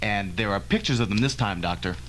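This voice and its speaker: A man's voice: lowish voice, fairly quick, fairly bright tone, slight background noise, natural voice. A man playing a brash, impatient, arrogant physicist in an old-fashioned radio drama, insistent and emphatic. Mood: eager, insistent.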